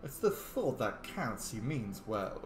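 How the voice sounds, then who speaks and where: A man's voice: medium pitch, sing-song, takes it slowly. A man hosting a roleplay let's play, chatting casually and improvising replies to game characters. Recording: roomy echo, faint background noise.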